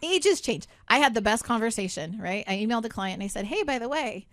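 A woman's voice: a little high, expressive, fast, no echo. A woman hosting a radio show in a studio, talking in a chatty, upbeat way with many asides. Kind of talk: casual talk radio chat.

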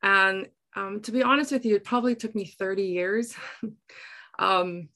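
A middle-aged woman from South Africa with an Afrikaans accent, telling personal stories reflectively in an earnest way, often halting to search for words. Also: mid-range voice, sharp tone. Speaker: interview guest, writer. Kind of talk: reflective personal storytelling in conversation.

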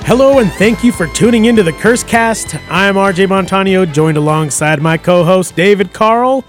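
A man hosting a sports podcast in a radio studio, with upbeat, quick patter and casual chat. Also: sits high, soft background music. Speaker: podcast host.

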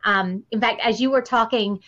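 Female interview host, middle-aged, voice a bit high, earnest conversational delivery, dull recording. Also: fairly even intonation, moderate pace, a bit bright. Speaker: podcast host. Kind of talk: casual interview conversation about faith.

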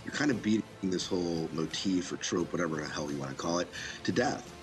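A man's voice: deep voice, lively intonation, brisk. A man co-hosting a podcast, chatting casually as he reviews a movie. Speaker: podcast co-host reviewing films. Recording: music playing behind the voice.

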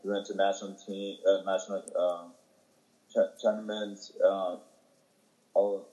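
A young man from Ethiopia giving halting, casual interview answers with many fillers and restarts. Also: low voice, flat delivery, mellow, lo-fi sound. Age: young adult. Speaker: interviewee, young soccer player.

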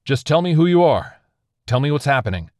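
A man's voice: fairly low pitch, moderate pace, a little mellow, dry recording, studio quality, pitched above the performer's own voice, lightly acted. A man voicing an injured, disoriented crash survivor in a narrated story, questioning urgently and in bewilderment. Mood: urgent, anxious.

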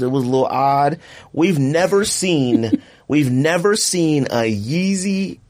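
A man hosting a podcast in casual chat, slangy and animated, jumping up into high asides. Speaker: podcast host.

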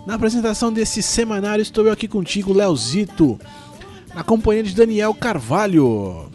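High-pitched man, São Paulo accent, congested with flu, informal sports podcast host, self-deprecating, rambling. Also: animated, moderate pace, a little mellow, faint music behind. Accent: São Paulo Brazilian Portuguese. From São Paulo, Brazil. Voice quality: congested from a cold. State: has the flu. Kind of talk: casual sports news chat.

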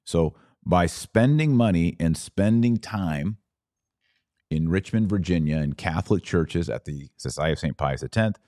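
A man's voice: low voice, lively intonation, unhurried, mellow, no room sound, studio quality. A man hosting a live podcast, reading and answering viewer comments in emphatic, punchy bursts of short phrases. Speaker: podcast host.

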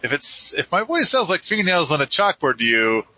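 A man's voice: on the high side, big pitch swings, brisk, pushed and bright, dull audio. A man hosting a live podcast in a rambling, casual, self-deprecating way. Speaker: podcast host.

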